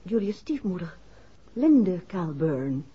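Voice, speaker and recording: Poised wife of a sleuth, very husky, pointed, deliberate, muffled vintage radio drama. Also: female voice, lowish voice, sing-song, mellow, full character voice. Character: poised, outspoken detective's wife, sharply suspicious. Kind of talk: 1960s radio play acting. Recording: muffled 1960s radio recording.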